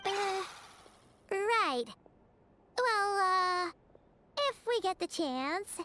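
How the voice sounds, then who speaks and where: A woman voicing a small, excitable, childlike fairy companion in a video game, with a squeaky, expressive voice. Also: very slow, noisy background, exaggerated voice. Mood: uneasy. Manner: refers to herself in the third person, expressive. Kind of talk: video game character dialogue.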